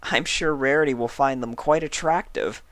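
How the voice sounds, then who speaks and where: A man voicing a caring female unicorn pony who is sick in bed, gentle and concerned, in a storybook reading. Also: medium pitch, normal speed, no room sound, voice pushed up, natural voice. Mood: weary, grateful.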